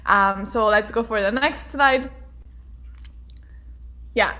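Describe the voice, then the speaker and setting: Young woman, bright high voice, bubbly, upbeat presenter. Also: slow. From Portugal. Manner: bubbly, upbeat, with many fillers. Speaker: keynote speaker. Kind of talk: presentation over a video call.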